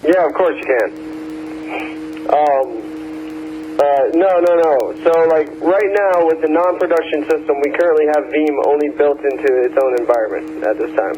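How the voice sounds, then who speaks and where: A man's voice: on the high side, moderate pace, warm tone, lo-fi sound. A man chatting informally and technically over a noisy ham radio link, with slangy phrasing. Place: on a two-way radio repeater.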